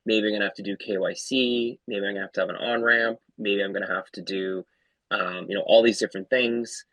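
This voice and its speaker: A company founder, a man, explaining his product eagerly on a casual call, talking in quick bursts. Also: fairly low pitch, fairly even intonation, fairly bright tone, no room sound, low-quality recording. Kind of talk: casual chat.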